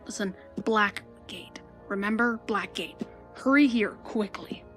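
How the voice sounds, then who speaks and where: A woman's voice: medium pitch, takes it slowly, fairly bright tone, soft background music. A woman playing a frantic young male reporter in an amateur radio play, stammering and urgent.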